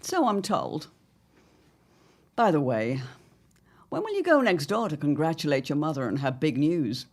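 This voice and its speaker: An actress as a sly, domineering older mother-in-law from an audio drama. Her voice is very low for a woman and swoops widely as she talks down to people. She is pompous and condescending, leaning grandly on her words. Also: very animated, heavily acted. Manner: pompous, condescending, drawn-out emphatic phrasing. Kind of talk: theatrical audio drama acting.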